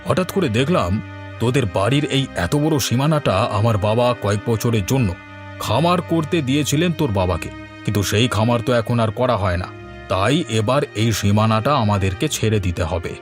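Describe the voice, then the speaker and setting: Guy doing a middle aged village landlord for a cartoon, smooth talker, patronising, quietly menacing, music under it. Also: mid-range voice, lively intonation, a little fast, close to an everyday voice. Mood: smoothly threatening. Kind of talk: Bengali cartoon story voice acting. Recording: music behind the voice.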